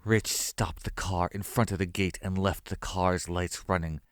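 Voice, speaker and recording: Low-voiced male horror narrator. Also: steady tone, unhurried, slight background noise. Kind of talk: scripted horror story narration.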